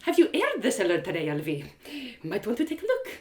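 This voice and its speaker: A woman voicing a guarded, wry older female former bandit in an acted audio story, speaking in a measured way. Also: mid-range voice, wildly swooping pitch.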